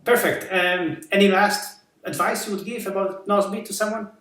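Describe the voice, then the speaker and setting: A man with a high voice asks his questions slowly, stopping and restarting with plenty of fillers. He sits off-mic, so his voice comes through roomy and echoey. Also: fairly even intonation, fairly bright tone, distant mic. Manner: hesitant, halting questions with many fillers and restarts. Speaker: interviewer, show host. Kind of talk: interview questions.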